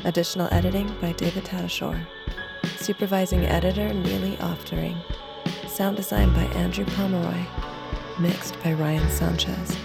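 A woman reading scripted credits as an announcer, in a measured, matter-of-fact manner. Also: on the low side, steady tone, vocal fry. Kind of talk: scripted credits and sponsor read. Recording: loud music bed under voice. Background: music.

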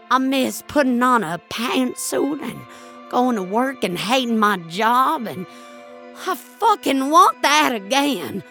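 A woman's voice: sits high, bright, forceful tone, pitched above the performer's own voice, exaggerated voice. A woman playing an angry, nostalgic elderly woman in an audio drama, speaking emphatically and wistfully with plenty of swearing. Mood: defiant, angry. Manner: emphatic, wistful, foul-mouthed. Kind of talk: dramatic audio fiction monologue.

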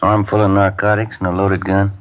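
An actor playing a plain-spoken police detective partner in a 1950s radio drama, reporting facts in a matter-of-fact way. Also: male voice, deep voice, monotone, fairly quick, very noisy, natural voice. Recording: dull, lo-fi 1950s radio broadcast.

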